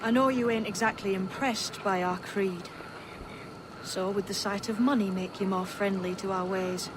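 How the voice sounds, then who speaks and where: A man's voice: high voice, fairly even intonation, normal speed, close to an everyday voice. Man acting a blunt assassin amid background noise. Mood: matter-of-fact persuading. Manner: blunt, colloquial, matter-of-fact. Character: blunt, earnest assassin devoted to a secret cause. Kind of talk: video game cutscene acting.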